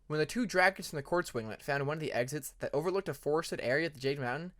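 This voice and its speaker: A narrator reading fan fiction aloud in an expressive audiobook style. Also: medium pitch, quick pace.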